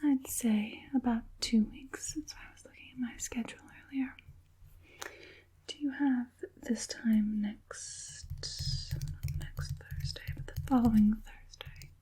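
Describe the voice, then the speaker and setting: A woman playing a politely businesslike, secretive witch puppet master in a soft, breathy whispered ASMR roleplay. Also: on the high side, steady tone, natural voice. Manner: soft, unhurried, politely professional, like a fitter.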